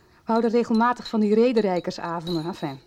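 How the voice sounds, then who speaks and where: An earnest young woman played by an actress speaks confidentially in a radio soap. Her voice is mellow and mid-pitched, with a deliberate, natural delivery. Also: slight background noise, natural voice. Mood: confiding, earnest.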